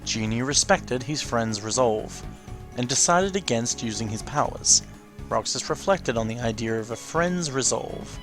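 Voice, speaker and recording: A man's voice: medium pitch, expressive, unhurried. Casual man commenting over faint game music. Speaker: let's play host.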